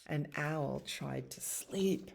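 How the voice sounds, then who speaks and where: A woman's voice: low-pitched, gravelly, breathy edge. A woman reading picture books aloud as a children's library storyteller, expressively, with casual asides about the pictures. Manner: expressive reading with acted animal sounds and pauses to comment on pictures. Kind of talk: read-aloud bedtime stories with casual asides.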